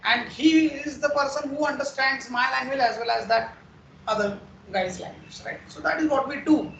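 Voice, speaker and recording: An instructor from India, patiently explaining software testing in a recorded lecture and often checking in with "okay" and "right". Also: sits high, normal speed, creaky, muffled recording. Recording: roomy echo, faint background noise.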